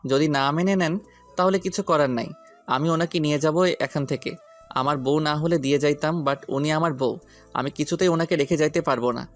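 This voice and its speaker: A man's voice: on the high side, moderate pace, natural voice. Man acting a hot-headed, insistent young man. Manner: insistent, pleading, defiant. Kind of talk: audio story acting. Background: background music.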